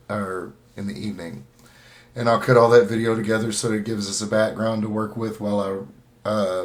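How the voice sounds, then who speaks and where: A man hosting a show in a casual, folksy way, thinking aloud in a halting, unscripted manner. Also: on the low side. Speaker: host of a writing tips show.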